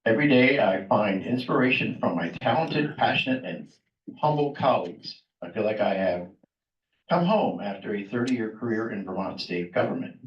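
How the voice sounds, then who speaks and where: A man's voice: fairly low pitch, lively intonation, normal speed. An older man introducing a guest speaker at a club meeting, plainspoken and a little reluctant, reading from notes. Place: meeting room. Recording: distant mic, echoey room, dull tone.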